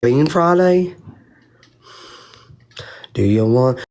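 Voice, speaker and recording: A woman hosting a casual livestream, rambling and swearing, her voice going hoarse from talking. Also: a bass voice, extremely slow. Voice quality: profane, rambling, animated. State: losing her voice. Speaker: livestream host.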